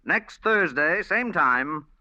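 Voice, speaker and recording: Forceful vintage radio announcer man. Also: fairly high pitch, lively intonation, no echo. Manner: dramatic, deliberate delivery. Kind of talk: formal 1940s radio announcing. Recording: dull vintage radio broadcast.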